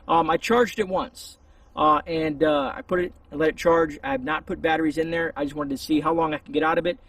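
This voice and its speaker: Male outdoor vlogger, husky and slightly high, informal meandering review delivery, recorded a bit far from the mic. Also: expressive, a little fast, fairly bright tone. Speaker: YouTube reviewer. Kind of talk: casual, rambling product review. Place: outdoors among trees. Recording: voice distant from the mic.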